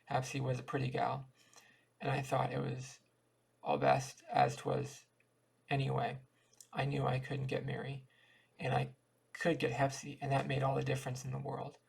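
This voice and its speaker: Gravelly-voiced man reading a story. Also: medium pitch, monotone, moderate pace, poor audio. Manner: stumbles over words and repeats himself. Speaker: amateur audiobook reader. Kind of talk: reading a short story aloud, folksy dialect narration.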